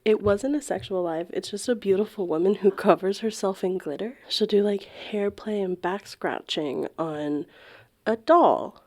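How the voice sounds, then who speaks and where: A woman's voice: mid-range voice, a little slow, darkish tone. A woman co-hosting a podcast in casual, comedic chat, candid and amused, with plenty of slang and swearing. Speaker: podcast co-host.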